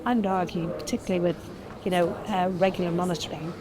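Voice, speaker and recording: A woman's voice: on the low side, fairly even intonation, fast talker. A woman, a nurse by background, answering interview questions at an event in an earnest manner, restarting and thinking aloud. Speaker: nurse and transformation consultant. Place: conference event. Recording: busy crowd noise behind.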